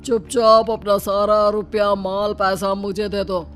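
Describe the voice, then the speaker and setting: A man's voice: sits high, little pitch movement, unhurried, a bit bright, clear, resonant tone, faint music behind. A man voicing blustering and put-upon male characters in a Hindi cartoon story. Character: bumbling street robber and a resigned young husband.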